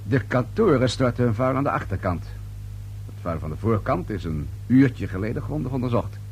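A man's voice: medium pitch, animated, normal speed, a little mellow, breathy edge. An actor playing a shrewd, sardonic male police inspector in a radio play, probing in short dry phrases. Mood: pressing. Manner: probing, dry, clipped, repeats answers pointedly. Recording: old radio play recording with faint hum.

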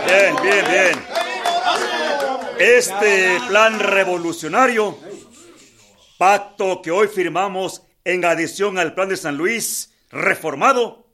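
An actor playing a forceful male rebel general in a historical radio drama, declaiming like an orator with a Mexican accent. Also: high voice, slow, over a noisy crowd. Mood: rousing.

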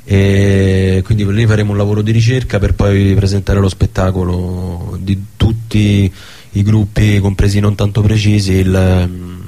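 A man with a fairly low voice and a Roman Italian accent. He is a guest in a casual radio interview, speaking in an informal, bantering way. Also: little pitch movement, measured pace, mellow, soft background music. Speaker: radio guest, theatre maker.

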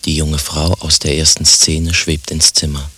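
A man narrating stage directions in a German radio play in a deadpan, scripted delivery. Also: extremely low pitch, measured pace, slightly rough, full character voice. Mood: matter-of-fact.

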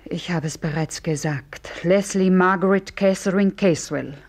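Low, husky, guarded young woman. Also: unhurried, a little mellow. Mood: evasive, defensive. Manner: terse, reserved. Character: guarded, evasive young woman of twenty-four. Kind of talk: 1950s radio drama acting.

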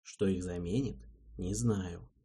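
A man voicing a calm, patient young male king who speaks quietly and slowly. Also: deep voice, slightly rough, pitched below the performer's own voice, exaggerated voice. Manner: quiet, soothing, measured.

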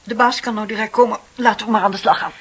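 A woman's voice: medium pitch, fairly even intonation, fast talker, background noise, close to an everyday voice. An actress playing a reserved, resigned middle-aged female office clerk in a Dutch radio play, speaking in a terse, dry way. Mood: matter-of-fact, resigned. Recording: dull, muffled old radio recording.

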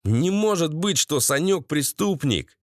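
A man's voice: on the high side, animated, measured pace, no room sound, clean studio sound. A man voicing a meek, flustered henpecked husband with an anxious, bleating way of talking. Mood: disbelieving.

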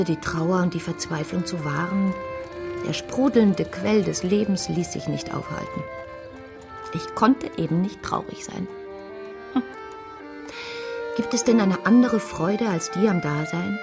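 An actress playing a reflective, hopeful woman who recounts her own story in measured, literary phrasing in a radio play. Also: on the low side, vocal fry. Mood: relieved, wondering. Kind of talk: first-person radio play narration.

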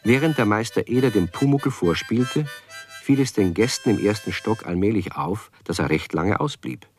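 A man narrating a children's radio play in a calm, measured storytelling manner. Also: lowish voice, faint music behind.

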